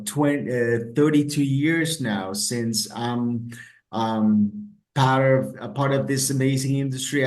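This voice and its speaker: A man's voice: medium pitch, a little mellow. Guest: middle-aged man, Costa Rican accent, hesitant, roomy reverb. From Costa Rica. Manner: hesitant, many ums and repeated words. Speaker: travel expert guest. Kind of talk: casual interview answers. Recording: roomy echo.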